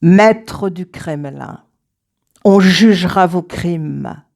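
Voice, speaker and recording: Female poet for recited verse: slightly low, dark and mellow, deliberate phrasing with weighty pauses. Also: gravelly. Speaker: poet reading own work. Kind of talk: slow recited poetry reading.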